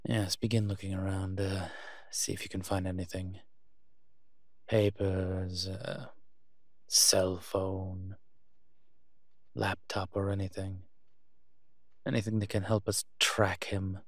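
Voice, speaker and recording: Guy doing a posh-talking old vampire for audio rp, kinda low voice, really slow, snobby and teasing. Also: level tone, no echo, clean studio sound. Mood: wry, brisk. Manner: pompous, wry, posh turns of phrase. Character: refined, haughty, centuries-old male vampire, fussy and teasing. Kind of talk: roleplay audio drama acting.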